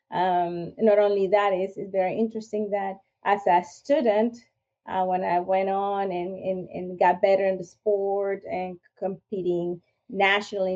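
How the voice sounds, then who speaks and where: A woman from Venezuela speaks English with a Venezuelan Spanish accent. She is a guest on a podcast and tells her story earnestly, restarting often. Her voice is mellow, and the recording sounds a little dull. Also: medium pitch. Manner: earnest, with frequent restarts and fillers. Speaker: podcast guest, firearms instructor. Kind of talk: interview, personal story.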